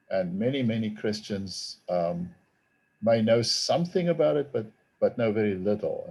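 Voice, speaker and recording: Middle-aged South African male academic; dark, gravelly voice, thoughtful and measured, speaking over a video call. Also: low voice. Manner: measured, thoughtful, with frequent ums. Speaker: theology professor and author. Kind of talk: online book talk.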